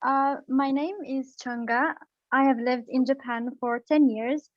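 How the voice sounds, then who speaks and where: A woman's voice: high voice, steady tone, a little slow, no echo. Muffled woman student on a call. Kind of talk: reading her own writing aloud. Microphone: video call.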